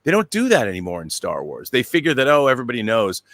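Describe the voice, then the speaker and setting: A man with a medium-pitched voice holds forth on a talk panel. He is emphatic, repeating phrases and posing rhetorical questions. The sound is dry, with little room. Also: huge pitch swings, quick pace, a bit bright, no echo. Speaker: show panelist. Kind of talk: opinionated panel commentary.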